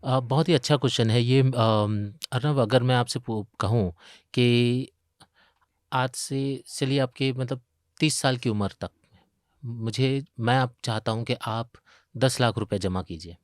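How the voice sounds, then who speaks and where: A man's voice: mid-range voice, unhurried, no room sound, clean studio sound. A man with an Indian accent explaining as an expert in an interview, posing questions and working through examples. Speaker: retirement expert, pension fund investment chief.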